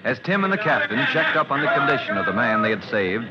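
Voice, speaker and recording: A male radio narrator with a medium-pitched voice. He declaims dramatically in the style of a 1940s serial. The old recording is dull and noisy. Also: sing-song, fast talker. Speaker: announcer and narrator.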